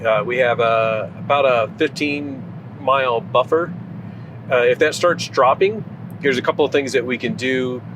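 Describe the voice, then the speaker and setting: A man's voice: medium pitch, moderate pace, background noise. Man chatting from the driver's seat. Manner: thinking aloud, lots of fillers. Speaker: vlogger. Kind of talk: casual chat while driving. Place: inside a car at night. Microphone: camera mounted on the dashboard.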